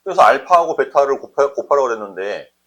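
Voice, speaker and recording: Husky male math teacher, lively pitch. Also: fast. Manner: conversational, often checks understanding with short questions. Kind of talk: informal explanatory math lesson, thinking aloud.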